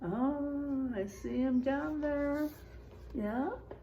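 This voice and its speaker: A woman's voice: high-pitched, extremely slow, warm tone, dull audio, a little hiss or hum. A woman teacher reading a picture book aloud to children, expressively, stretching out rhymes and adding chatty, exclaiming asides.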